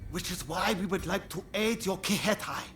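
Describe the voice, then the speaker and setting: A man's voice: high-pitched, normal speed, fairly bright tone, soft background music. An actor playing an earnest, humble male merchant in a video game cutscene, speaking in a hesitant, deferential way. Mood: grateful, resolved.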